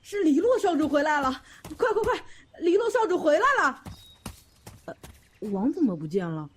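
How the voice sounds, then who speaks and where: Casting: excitable young servant, high, animated, flustered, faint effects noise behind. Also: female voice, moderate pace. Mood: excited, flustered. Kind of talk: Chinese audio drama acting.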